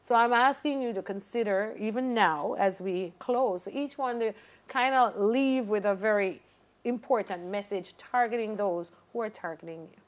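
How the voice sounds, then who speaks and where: A woman's voice: mid-range voice, moderate pace. A woman in her thirties with a Jamaican accent, an emphatic and probing interviewer hosting a studio talk show. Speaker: television morning show host. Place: television studio. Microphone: lapel.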